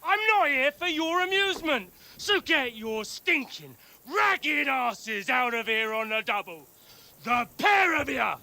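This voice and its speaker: An actor playing a gruff, scornful castle gate guard in a video game. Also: male voice, very high-pitched, lively intonation, a little slow, sharp tone, heavily acted. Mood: scornful, angry. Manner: scornful, barking. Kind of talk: cinematic video game acting.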